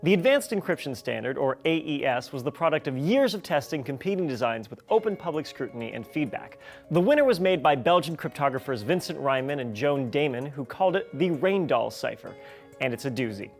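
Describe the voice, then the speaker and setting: A man's voice: on the high side, lively intonation, fairly quick, faint music behind. A man hosting a scripted science explainer in a wry, playful manner. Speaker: science show host.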